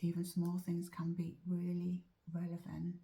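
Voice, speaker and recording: A woman guiding a meditation in a soft, often whispered voice, slow and drawn-out, a little chesty as she is losing her voice. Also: on the low side, monotone. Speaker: meditation teacher. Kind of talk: guided meditation.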